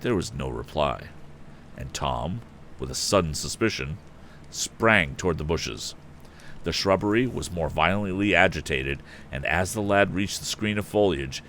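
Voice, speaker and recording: Male story reader, low voice, expressive and varied delivery, casual host manner, slight background noise. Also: measured pace. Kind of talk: expressive book narration with casual asides. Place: indoor live stream.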